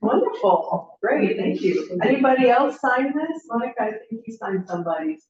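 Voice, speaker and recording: Middle-aged woman, workshop leader, encouraging, higher pitch, distant roomy recording. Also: lively intonation, normal speed, a loud crowd. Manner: friendly, encouraging, asks the group questions. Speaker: language teacher trainer. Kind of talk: leading a training session. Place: classroom. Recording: roomy, distant, slightly dull.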